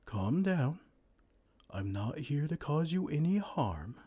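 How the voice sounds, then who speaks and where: A man voicing a gentle, kind male giant who talks softly and soothingly in an ASMR roleplay. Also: fairly high pitch, very animated, takes it slowly, slightly rough, exaggerated voice. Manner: soft, soothing, comforting.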